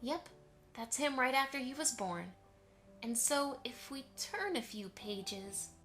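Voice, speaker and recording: A woman plays a gentle pony mother and grandmother in a fan radio play. Her voice sits a little high and she speaks fondly and reassuringly. Faint music plays behind her. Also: lively intonation, takes it slowly, heavily acted. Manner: soft, reassuring, fond. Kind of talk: fan audio drama acting.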